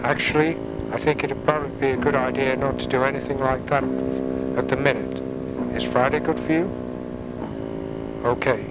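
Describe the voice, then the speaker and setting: A man acting a character in a dystopian sci-fi police drama for a motion comic, over a loud ambient rumble. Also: steady tone, moderate pace, pauses often, close to an everyday voice. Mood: serious, measured. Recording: very noisy, rumbling background.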